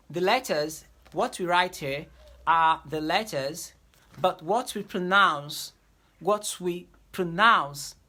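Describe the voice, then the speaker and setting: A woman lectures on teaching diction, her voice bright and somewhat low-pitched. She repeats key phrases and slows down to stress her points, like a teacher walking a class through a lesson.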